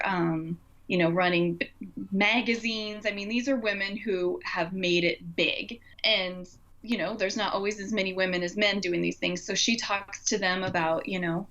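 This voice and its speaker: A woman chats as a podcast co-host, in an earnest, encouraging way. Her voice sits at a middling pitch. The recording is dull and lo-fi, with little top end. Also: fairly bright tone. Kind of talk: casual podcast chat.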